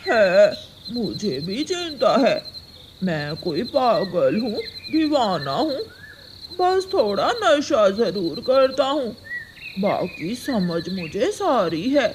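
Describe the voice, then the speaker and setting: Someone acting out a slow, remorseful cartoon crow husband. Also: mid-range voice, big pitch swings. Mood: regretful. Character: Kalu, remorseful addicted male crow husband and father. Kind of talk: acted dialogue in Hindi moral cartoon story. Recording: clearly noisy, nature ambience behind.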